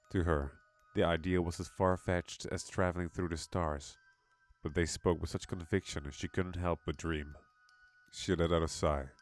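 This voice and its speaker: A man narrating a scripted horror story in a measured, dramatic manner. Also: low voice. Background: background music.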